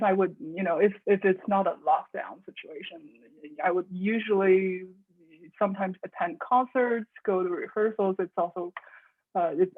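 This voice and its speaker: Young woman from China, composer guest, halting and chatty, husky tone over a phone-like line. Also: mid-range voice. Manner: halting, many restarts and soft laughs. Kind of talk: casual interview conversation.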